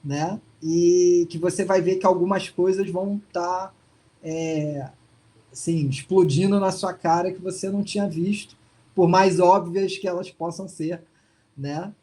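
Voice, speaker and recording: A man's voice: sits high, steady tone, a little slow, dark tone. A man being interviewed over a video call, speaking in a casual, hesitant way with many restarts and fillers. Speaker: interview guest. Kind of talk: casual testimonial chat.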